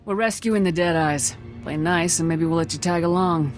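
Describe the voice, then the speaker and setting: Lady doing a confident army commander for a video game, dryly teasing, steady, bit of music behind. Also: on the low side, moderate pace, lightly acted. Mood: firm, cool. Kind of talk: video game dialogue acting.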